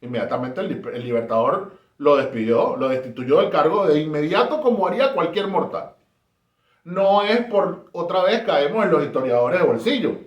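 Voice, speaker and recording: A man's voice: a little high, lively intonation, moderate pace, highs cut off. A man from Caracas with a Venezuelan accent, giving an emphatic, rhetorical talk on history. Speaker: history presenter. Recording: roomy echo.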